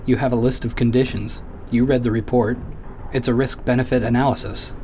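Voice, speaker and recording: A man's voice: medium pitch, flat delivery, brisk, darkish tone, slight background noise, natural voice. An actor playing an earnest, literal-minded crewman who talks in a plain, matter-of-fact way. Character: literal-minded man crew member, earnest, flat.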